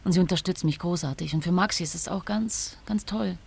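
An actress playing a grieving young mother in a radio drama, speaking intimately and wistfully in a breathy voice. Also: lowish voice, a little fast, a little mellow. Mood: brighter, excited. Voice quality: husky, breathy. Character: grieving young mother talking to her absent husband.

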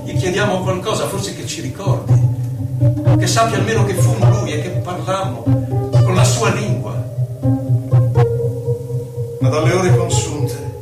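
A man's voice: sits high, measured pace, pauses often. A man giving a solemn, theatrical poetry recital for radio, stretching out his phrases dramatically. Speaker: poetry performer. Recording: echoey distant recital under loud music. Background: loud music.

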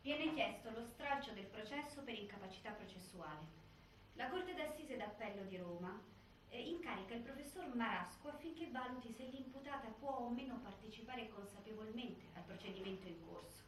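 A woman's voice: fairly high pitch, lively intonation, normal speed. A woman narrating a scripted documentary theatre piece in a very echoey hall. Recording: very echoey hall, distant, faint noise.

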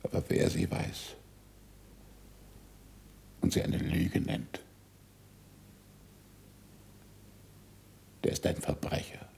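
An actor in a 1960s German radio play, playing a stern, authoritative older scientist who teaches in an emphatic, commanding way. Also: male voice, slow, darkish tone, a little hiss or hum, natural voice. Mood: scornful, indignant.

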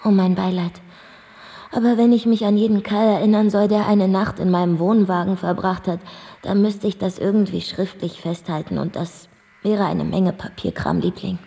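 An actress plays a worldly-wise, sardonic bar singer in a radio drama. Her voice is dark with a crackly fry and rings in a long reverberant space. She talks bluntly and flippantly. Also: medium pitch, fairly even intonation, moderate pace, natural voice. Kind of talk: German radio drama acting.

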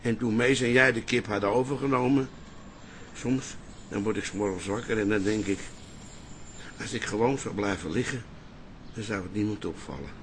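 Actor as emotional elderly father, bright forceful voice, tender yet easily riled, radio play, faint noise. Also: lowish voice, normal speed, long pauses, lightly acted. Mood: tender, wistful. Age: older. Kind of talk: Dutch radio play acting.

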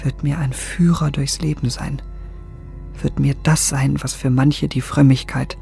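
A young gentleman of a radio play, vain yet full of remorse. He speaks in an intense, inward manner with a creaky edge, slipping toward a whisper, with music underneath. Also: mid-range voice, level tone, unhurried. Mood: solemn. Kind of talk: literary radio drama monologue.

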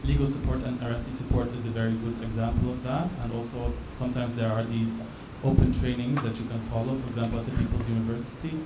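A man's voice: mid-range voice, little pitch movement, quick pace, hardly any pauses. A man on a panel giving practical, matter-of-fact advice. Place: event venue. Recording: echoey hall with background noise.

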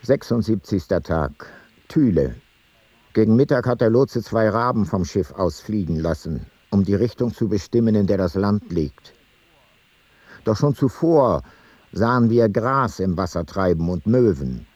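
An actor playing an ancient seafarer, reading his ship's log in a radio play in a measured, matter-of-fact way. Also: lowish voice, animated, a little mellow, slightly rough, no room sound. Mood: measured, observant.

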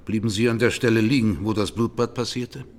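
An actor playing a formal, matter-of-fact judge questioning a witness in a radio courtroom drama. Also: male voice, on the low side, steady tone, a little fast, slight background noise, natural voice. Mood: probing, sober.

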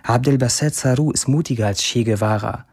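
An actor playing a defiant, self-mocking young Syrian man in a declamatory, deliberate audio drama monologue. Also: medium pitch, darkish tone, slightly rough. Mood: boastful. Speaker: actor performing an author's social media posts.

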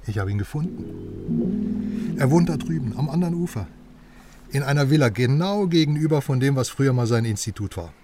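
A man's voice: mid-range voice, lively intonation, normal speed, long pauses, recorded from a distance, over loud music, lightly acted. A man playing a pushy, self-assured journalist in a radio crime drama, talking at length in a persuasive, self-important way. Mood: confiding, wry. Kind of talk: naturalistic radio drama dialogue.

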